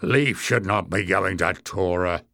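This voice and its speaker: A man's voice: on the low side, a little slow, vocal fry, sharp tone, dry recording, studio quality, heavily acted. A man voicing a huge, belligerent, boastful warrior in a fantasy story, growling and blustering. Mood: grumbling. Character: hulking savage male warrior, boastful, belligerent, slow-witted.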